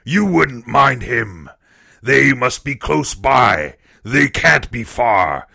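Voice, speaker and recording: A man's voice: medium pitch, big pitch swings, creaky, pushed and bright, heavily acted. Nasty blind pirate boss for an audiobook, roaring, drags out his orders, really bossy. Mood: furious, desperate. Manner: bellowing, drawn-out commands. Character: furious blind pirate leader, villainous, domineering. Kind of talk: audiobook character voice.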